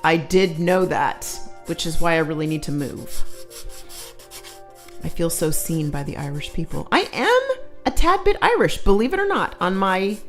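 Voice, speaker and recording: A woman's voice: lowish voice, very animated, moderate pace, faint music behind. A woman co-hosting a live stream, giggling often and reading viewer comments aloud in a casual chat.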